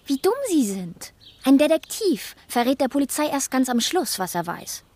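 A woman's voice: high voice, very animated, normal speed, a little mellow. A woman playing a bossy, know-it-all little girl detective in a German radio play, pert and scolding. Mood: cheeky, scornful.

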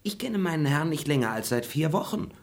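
Husky, roguish manservant with swooping delivery. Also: male voice, a little high, big pitch swings, a little fast. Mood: amused, evasive. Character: roguish, flirtatious manservant, chatty and evasive. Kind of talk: classic German stage comedy as radio play.

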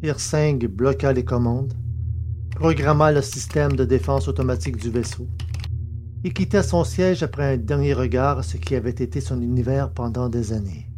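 A man's voice: mid-range voice, normal speed, darkish tone. A man narrating a scripted science-fiction adventure story as its dramatic narrator.

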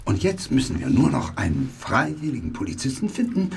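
A man acting an excitable, sardonic junior police officer in a German radio play. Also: mid-range voice, a little fast, gravelly, a little hiss or hum. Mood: eager, scheming. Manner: animated, indignant, sarcastic. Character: excitable, reluctant male police subordinate, sardonic.

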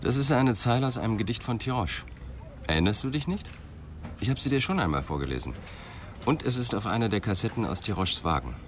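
Guy playing police inspector in radio drama, deep, calm, probing, bit of background noise. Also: close to an everyday voice. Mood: musing, grave. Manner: measured, insistent questioning. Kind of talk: German radio drama acting.

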